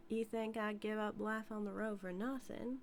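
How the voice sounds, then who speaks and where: A woman's voice: medium pitch, fairly even intonation, moderate pace, a little hiss or hum, close to an everyday voice. A woman playing a flirty, dominant outlaw cowgirl with a Southern US accent in a scripted audio roleplay, drawling and teasing. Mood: boastful, wistful. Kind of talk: scripted audio roleplay, intimate character acting.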